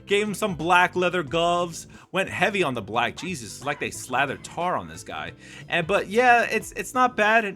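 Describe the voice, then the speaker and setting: Guy with a bright, slightly high voice doing chatty honest game review, faint music behind. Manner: candid, opinionated, conversational. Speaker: video game YouTuber reviewing skins. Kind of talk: casual review commentary over gameplay.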